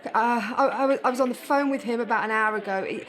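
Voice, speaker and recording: A woman's voice: fairly high pitch, fast talker, background noise, lightly acted. A woman playing a loving, worried mother of a troubled son in a radio drama. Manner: gentle reminiscing, later panicked. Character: worried middle-aged mother, loving but frantic.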